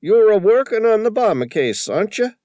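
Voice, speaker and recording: A man voicing an excitable old male shop owner with rough, folksy speech. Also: high voice, fairly quick, no room sound, clean studio sound, pitched above the performer's own voice. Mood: suspicious.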